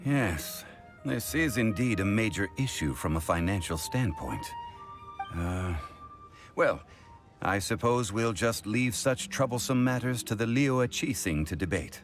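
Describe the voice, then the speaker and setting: An actor playing an ancient, scholarly god in human guise in a video game, speaking in a formal, measured and erudite manner. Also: male voice, on the low side, lively intonation, music in the background. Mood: pensive. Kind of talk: scripted video game dialogue.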